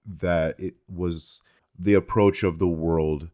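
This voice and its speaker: A man co-hosting a podcast, talking casually about films in a measured, inquisitive way as he feels out his points. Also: sits low, steady tone, mellow. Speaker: podcast co-host. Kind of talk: casual film discussion chat.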